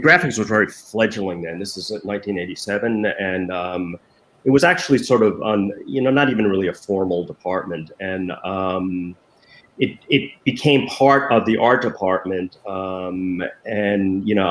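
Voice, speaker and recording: A man's voice: low-pitched, a little slow, roomy sound, a little hiss or hum. An older man, a former newspaper design director, answering interview questions in a hesitant way full of ums and restarts over a video call.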